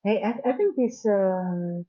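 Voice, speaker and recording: A woman with a faint Dutch accent talks loosely on a live stream. She rambles through frank thoughts with lots of fillers and restarts. Her voice is mellow, and the audio sounds dull and lo-fi. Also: mid-range voice, slow pace. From Netherlands. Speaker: trading coach streaming live. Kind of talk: casual live-stream chat. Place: home in South Africa.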